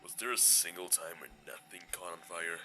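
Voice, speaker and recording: A man in a fan audio drama playing a gentle, weary, laid-back male skeleton, speaking in a hesitant, casual, understated way. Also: low-pitched, steady tone, normal speed, a little hiss or hum. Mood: dry, bemused.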